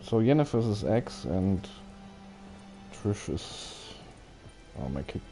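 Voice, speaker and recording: A man's voice: fairly low pitch, big pitch swings, slow, music in the background. A man chatting casually as he livestreams a video game, often hesitant and thinking aloud. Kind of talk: casual rambling game stream commentary, chatting with viewers.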